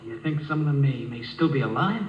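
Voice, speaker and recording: A man's voice: mid-range voice, animated, a little fast, close to an everyday voice. An actor playing a dutiful, anxious spaceship crewman in a 1950s radio drama. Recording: distant, muffled, hissy, echoey 1950 radio broadcast.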